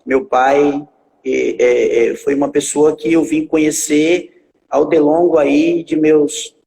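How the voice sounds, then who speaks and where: A man in his forties telling his life story as a guest pastor in a live stream, speaking haltingly with many filler pauses and repeated words. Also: on the high side, steady tone, creaky, dark tone, long reverb tail, slight background noise, low-quality recording. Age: 40s.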